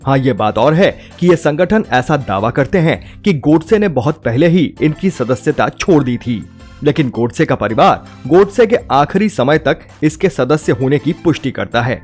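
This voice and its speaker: A man delivers a scripted review in a mid-pitched, slightly rough voice. He leans on rhetorical phrasing, stressing his points as a narrator would. Soft music plays behind him. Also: lively intonation, moderate pace. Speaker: film reviewer. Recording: music bed under the voice. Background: background music.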